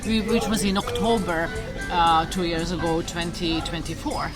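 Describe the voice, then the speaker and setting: A woman from Serbia chatting in an interview, pausing often with fillers and restarts. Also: lowish voice, over loud music, low-quality recording. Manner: hesitant with many fillers and restarts. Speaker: viewer interviewed while traveling. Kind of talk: casual interview chat.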